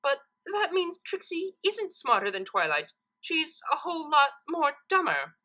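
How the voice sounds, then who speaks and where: Woman acting boastful female unicorn, storybook figure, grandiose, high-pitched, swooping intonation. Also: sing-song, unhurried, bright, forceful tone, heavily acted. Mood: indignant. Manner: grandiose, self-important, bad grammar. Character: boastful, vain female unicorn magician, third-person braggart.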